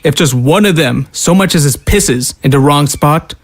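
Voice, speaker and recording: A man's voice: a little high, normal speed. A young man playing a cold, hardened brother who hunts zombies, speaking curtly and bluntly. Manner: curt, blunt, callous.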